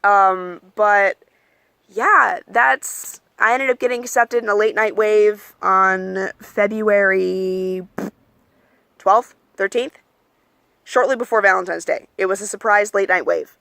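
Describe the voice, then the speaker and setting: Bright, gravelly-voiced young woman vlogging. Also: mid-range voice, takes it slowly, no echo. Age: young adult. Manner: chatty and candid, lots of ums and likes; calls her own voice monotone. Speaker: vlogger giving application advice. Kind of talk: casual advice vlog.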